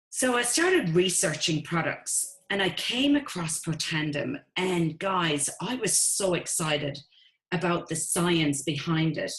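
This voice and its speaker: A woman with an Irish accent presenting a webinar to an online audience in an upbeat sales tone. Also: rough recording. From Ireland. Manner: upbeat sales pitch, addresses listeners as "guys".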